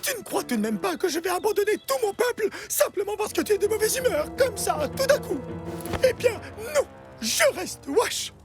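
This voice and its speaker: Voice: very high-pitched, big pitch swings, normal speed, heavily acted. A woman voicing a vain, whiny, theatrical young male boar in a cartoon, who fancies himself a sacred cat. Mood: haughty, indignant. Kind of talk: animated cartoon dubbing. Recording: music behind the voice.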